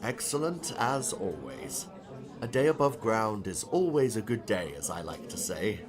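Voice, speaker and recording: Man playing a lively, genial older novelist. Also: lowish voice, a little slow, over music. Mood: genial, polite. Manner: polite, quaint, chatty. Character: genial, eccentric older English male novelist. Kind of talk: audiobook character dialogue.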